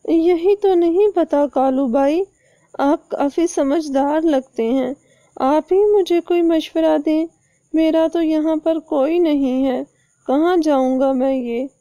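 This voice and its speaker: Lady doing a sly boy parrot for a cartoon, really high voice, begging and buttering everyone up. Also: takes it slowly, mellow, dry recording. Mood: flattering, feigned helplessness. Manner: pleading, ingratiating. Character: pleading, flattering, sly male parrot who lies.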